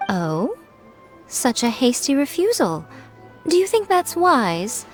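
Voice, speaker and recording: A woman's voice: high-pitched, very animated, slow, exaggerated voice. A woman playing a sly, elegant shrine priestess in a video game, coy, teasing and sure of herself. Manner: coy, teasing, self-assured. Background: music.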